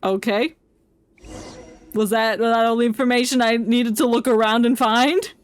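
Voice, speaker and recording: A woman's voice: a little high, steady tone, normal speed. A woman doing casual livestream game commentary, rambling and reacting aloud in an irreverent way. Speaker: game streamer. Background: game music.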